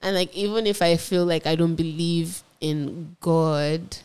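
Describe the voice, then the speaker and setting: A woman with a fairly low voice chats on a podcast with a friend. She laughs often and responds warmly and enthusiastically. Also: little pitch movement, measured pace, smooth. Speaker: podcast co-host. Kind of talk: casual chat.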